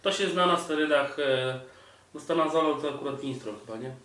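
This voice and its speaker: A man's voice: a little high, brisk, pushed and bright. A man co-hosting a casual sports podcast in conversational talk. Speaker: podcast co-host. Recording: distant, echoing, noisy pickup.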